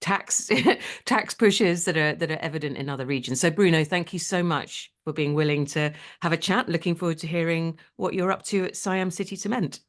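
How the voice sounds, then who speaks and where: A podcast host, a woman with a notably low voice. She asks questions in a chatty, animated way. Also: moderate pace. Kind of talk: podcast interview.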